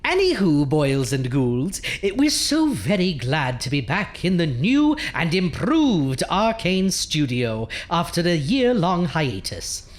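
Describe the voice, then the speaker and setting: Low-voiced campy horror hostess. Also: female voice, big pitch swings, slow pace, few pauses. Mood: campy welcoming. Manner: theatrical, arch, chatty asides. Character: campy, deliciously ghoulish horror hostess, playful and wry. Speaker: host. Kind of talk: campy horror radio drama hosting.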